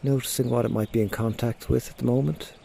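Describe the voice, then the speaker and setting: Man, mindfulness guide, relaxed dark timbre, gentle slow instructions, long pauses, light nature ambience with birds. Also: medium pitch, creaky, a little hiss or hum. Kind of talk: guided body scan meditation. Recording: faint outdoor nature sounds behind. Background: birds and nature sounds.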